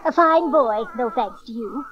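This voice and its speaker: High-pitched, sharp-tongued innkeeper's wife. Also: female voice, animated, a little slow. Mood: scolding, indignant. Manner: brusque, scolding, exclamatory. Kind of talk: dramatized audio Bible acting. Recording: dull lo-fi cassette transfer.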